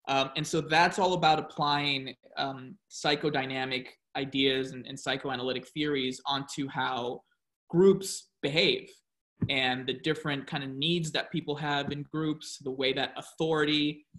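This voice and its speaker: Bright-voiced man, reflective guest. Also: medium pitch, fairly even intonation, unhurried. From United States. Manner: reflective, explains at length. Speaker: podcast guest, professor. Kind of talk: casual interview talk.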